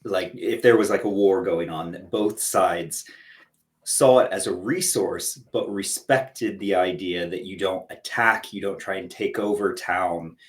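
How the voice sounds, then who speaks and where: A man's voice: deep voice, measured pace. A man co-creating a podcast, earnestly explaining his ideas in casual chat.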